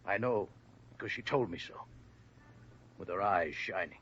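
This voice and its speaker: A man's voice: low voice, moderate pace, fairly bright tone, husky, exaggerated voice. A man playing a loyal, resentful cab driver with an American accent, earnest and bitter, protective in manner, in a 1950s radio drama. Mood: protective, indignant. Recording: muffled, hissy vintage radio recording.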